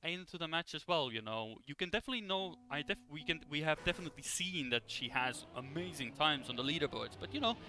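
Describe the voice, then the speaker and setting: A man giving live, conversational esports commentary in a halting, rambling way as he follows the play. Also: fairly high pitch, lively intonation, sharp tone. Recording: clear background noise.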